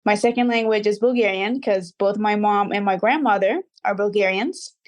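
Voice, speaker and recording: 20 yr old woman, earnest and kinda formal, mid pitch. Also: moderate pace. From Dubai. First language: Arabic. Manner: earnest, reflective, slightly formal. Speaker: guest, recording artist. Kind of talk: interview answers.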